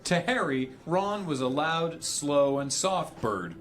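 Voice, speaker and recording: A man's voice: on the high side, lively intonation, slow pace, music in the background. A man reading an absurd story aloud theatrically for comedy, prone to breaking up as he goes. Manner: theatrical, often breaking into laughter. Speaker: reader on a charity stream.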